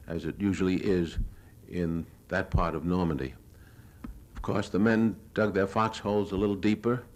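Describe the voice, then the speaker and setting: Low-voiced older American man narrating film. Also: darkish tone, a little hiss or hum. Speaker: newsreel reporter giving a lecture. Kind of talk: live lecture narrating his own war film. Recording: 1970s archival lecture audio recording.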